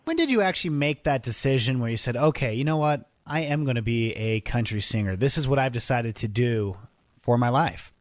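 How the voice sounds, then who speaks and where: A man hosting an interview, asking quick conversational questions. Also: mid-range voice, lively intonation, dry recording. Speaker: interview host.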